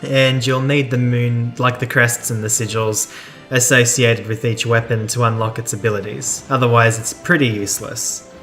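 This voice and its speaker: A man's voice: medium pitch, steady tone, moderate pace, a bit bright. Casual man commentating over game music. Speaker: gamer narrating a let's play.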